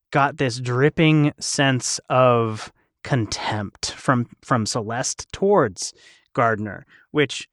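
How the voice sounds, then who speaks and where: A man's voice: medium pitch, fairly bright tone, no room sound, clean studio sound. A man hosting a casual panel discussion in a chatty, reflective, wordy way. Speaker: podcast host and voice actor.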